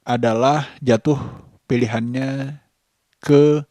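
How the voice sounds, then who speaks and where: A man, giving a casual vlog monologue in halting, deliberate short phrases. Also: mid-range voice, fairly even intonation, dark tone, dry recording. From Indonesia. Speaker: vlogger.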